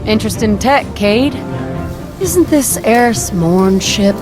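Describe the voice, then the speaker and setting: A woman acting a no-nonsense hangar shipwright in a video game, deadpan and businesslike. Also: medium pitch, takes it slowly. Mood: dry, knowing. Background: music behind the voice.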